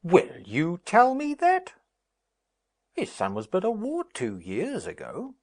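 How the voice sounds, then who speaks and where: An actor playing a jovial, blustering old lord in theatrical Shakespearean style, booming and declaiming. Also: male voice, wildly swooping pitch, slow pace, darkish tone, heavily acted. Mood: amused, disputing.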